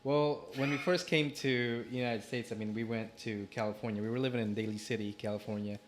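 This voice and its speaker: A young man from the Philippines, telling stories in a relaxed podcast chat with many fillers. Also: medium pitch, a little fast, slight background noise. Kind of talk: casual chat.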